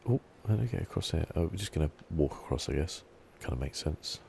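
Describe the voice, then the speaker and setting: Soft-spoken male gaming host, relaxed and musing, gravelly low register, faint noise behind. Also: quick pace. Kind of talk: relaxed ASMR gameplay commentary.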